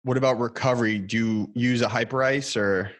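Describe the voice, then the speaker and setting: A man's voice: mid-range voice, fairly even intonation, brisk, no room sound. A man interviewing a guest in a casual, bro-ish podcast chat.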